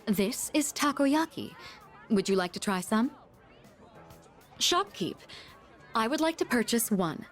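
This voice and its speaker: Poised, expressive young lady. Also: fairly high pitch, slow, pauses often, soft background music. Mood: patient, composed. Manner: composed, articulate, gently formal. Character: poised, refined young woman, a patient elder-sister guide. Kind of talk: video game voice acting.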